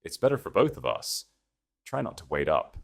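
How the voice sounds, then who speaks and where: A man's voice: deep voice, very animated, normal speed, vocal fry, heavily acted. A man voicing a dismissive, ambitious, snobbish husband with casual contempt in an audiobook.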